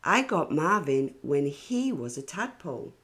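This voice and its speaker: A woman's voice: low-pitched, sing-song, unhurried, fairly bright tone, exaggerated voice. A woman voicing an eager, friendly little know-it-all schoolboy in a storybook, speaking emphatically.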